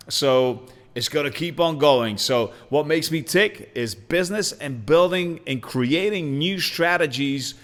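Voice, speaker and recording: A man in his forties from Bulgaria hosts his own podcast in a casual solo monologue, talking with lots of energy and plenty of "uh"s and restarts. His voice is smooth and resonant at a middling pitch. A long reverb hangs around it, as if he were in a big, hard room. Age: 40s. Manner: energetic, talkative, with frequent fillers and restarts. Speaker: podcast host. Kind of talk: casual self-introduction monologue.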